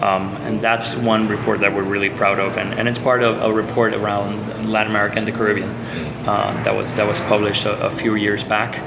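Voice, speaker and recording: A man's voice: fairly low pitch, level tone, brisk, gravelly, heavy background noise. A man from Puerto Rico, a social psychologist, talking earnestly as a guest in an interview, with frequent restarts and fillers. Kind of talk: conversational interview.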